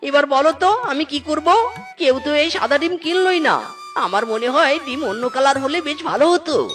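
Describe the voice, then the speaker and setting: A woman voicing a goofy, excitable young male fox bridegroom in a comic cartoon, with whiny exclamations and bits of English thrown in. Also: sits high, moderate pace, over music, natural voice. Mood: whiny, dejected. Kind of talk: exaggerated comic cartoon voice acting.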